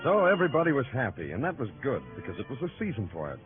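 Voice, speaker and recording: An actor playing a hard-boiled police lieutenant who narrates an old radio drama, dry and sardonic. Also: male voice, mid-range voice, huge pitch swings, racing. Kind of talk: 1940s radio acting. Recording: tinny old radio with music.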